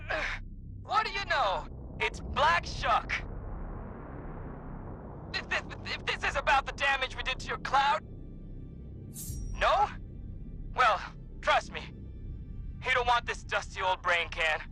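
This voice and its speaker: A man's voice: extremely high pitch, animated, slow pace, long pauses, over music, full character voice. A man voicing a nervous, pleading robot sidekick in a video game. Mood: panicked. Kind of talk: video game cutscene acting.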